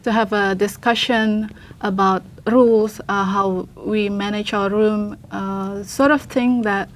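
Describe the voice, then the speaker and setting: A young woman from Indonesia, an international student answering press questions in halting English with many fillers and restarts. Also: medium pitch, fairly even intonation. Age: young adult. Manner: hesitant, many fillers, searches for words.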